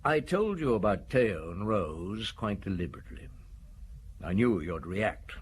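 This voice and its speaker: Stern middle-aged inspector, blunt and probing, lowish voice, faint background hum, radio drama. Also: male voice, very animated, measured pace.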